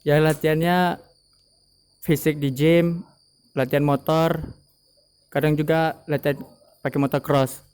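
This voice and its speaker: A man's voice: fairly high pitch, flat delivery, a little slow, pauses often, darkish tone, clean tone. A nineteen-year-old male motorcycle racer giving brief, plain answers in an interview. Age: young adult.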